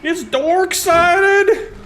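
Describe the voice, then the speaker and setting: A man voicing a preachy, outraged zealot in a drawn-out, mocking high shriek. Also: a bit bright, slight background noise, full character voice. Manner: mocking, exaggerated.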